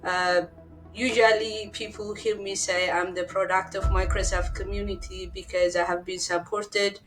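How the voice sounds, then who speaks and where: An earnest woman from Ethiopia whose English carries a clear Ethiopian accent. She speaks as a guest, often repeating words to stress a point. Her voice sits at an ordinary pitch for a woman, with music playing clearly behind her. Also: flat delivery, moderate pace, low-quality recording. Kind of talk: podcast interview, personal story.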